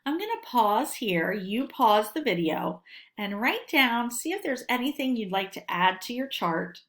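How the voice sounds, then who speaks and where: A woman teacher reading a lesson aloud for children in a deliberate, instructional way, posing questions and answering them. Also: fairly high pitch, animated, a bit bright.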